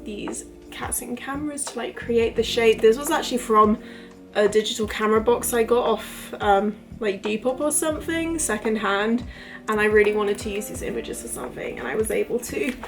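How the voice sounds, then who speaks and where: A woman in her twenties vlogging casually to camera, chatty and rambling, her voice a little croaky from illness. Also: on the high side, animated, moderate pace, soft background music. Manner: chatty, rambling, lots of fillers. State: recovering from illness, voice a bit croaky. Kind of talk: casual talking-to-camera vlog.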